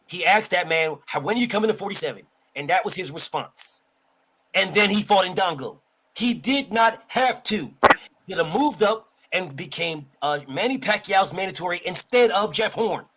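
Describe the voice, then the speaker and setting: Insistent high-voiced man debating boxing. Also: expressive, moderate pace, background noise. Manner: insistent, repeats points, talks over others. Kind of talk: heated sports debate.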